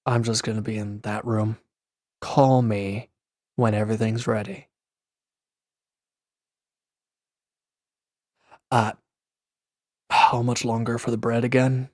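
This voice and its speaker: A man's voice: medium pitch, flat delivery, unhurried, pauses often, a little mellow, no echo, studio quality, lightly acted. A man acting a proud, bitter, wounded villain in an audio roleplay, speaking in a curt, irritable, dismissive way. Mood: curt, aloof. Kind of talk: dramatic roleplay audio monologue.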